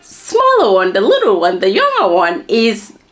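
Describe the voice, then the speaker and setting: Gambian lady in her 30s vlogging, chatting about personal stuff to camera, medium pitch, emphatic storyteller. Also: huge pitch swings, measured pace, fairly bright tone. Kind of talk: casual personal talk to camera. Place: small plain indoor room.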